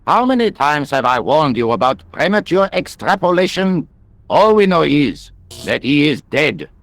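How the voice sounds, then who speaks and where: A man playing a pompous, self-important French police detective, speaking deliberately with a French accent in a video game. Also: a little high, very animated, fairly bright tone, no room sound. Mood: scolding, pompous. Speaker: police inspector.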